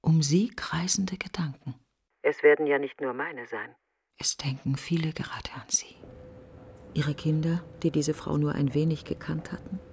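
An actress playing a grieving, fearful woman in a literary radio drama monologue, speaking in halting, deliberate fragments with a breathy, often nearly whispered voice. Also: deep voice, darkish tone, a little hiss or hum. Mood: brooding grief. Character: grieving, fearful, brooding woman mourning her best friend.

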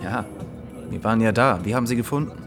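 A man's voice: fairly low pitch, fast talker, close to an everyday voice. An actor playing a laconic local man at a café, casual and matter-of-fact. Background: music.

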